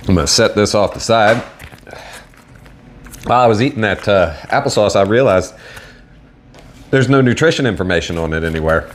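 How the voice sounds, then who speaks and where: Deep-voiced Southern man, laid-back. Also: moderate pace, pauses often, slight background noise. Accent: Southern American. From American South. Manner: laid-back, rambling, self-deprecating. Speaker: food reviewer. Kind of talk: casual solo video review.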